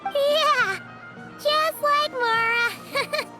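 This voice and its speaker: A woman voicing a small, frank fairy girl guide in a video game, with a squeaky, childlike voice. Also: extremely slow, heavily acted. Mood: unimpressed. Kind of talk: video game character acting. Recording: music behind the voice.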